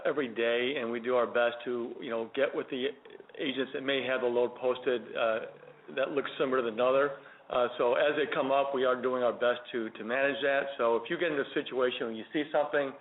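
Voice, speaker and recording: A man with an average-pitched voice speaks over a narrow, tinny phone connection. He answers as a company representative, choosing his words in a measured, conciliatory way. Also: fairly even intonation. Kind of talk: unscripted answers on a conference call. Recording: tinny telephone conference line.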